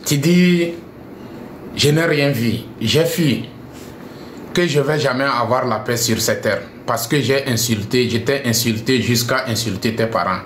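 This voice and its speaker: A man's voice: medium pitch, a little slow, a bit bright, echoey room, slight background noise. A man ranting scornfully on a live stream, repeating himself and mixing French with a local language. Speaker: live streamer addressing viewers. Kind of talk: heated live-stream rant.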